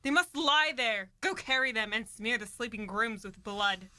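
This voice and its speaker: A steely, scheming noblewoman, acted by a woman in a Shakespearean radio play. Her voice is bright and forceful in a dry recording. She is scornful and commanding as she delivers the verse. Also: fairly high pitch, animated, normal speed, no echo. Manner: commanding, dramatic, urgent Elizabethan verse delivery.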